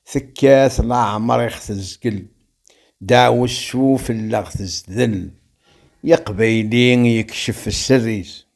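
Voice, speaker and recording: Man, Kabyle accent, poet reciting verse, heavy creak in the voice, slow declamatory phrasing. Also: mid-range voice, darkish tone. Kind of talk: poetry recitation in rhymed verse.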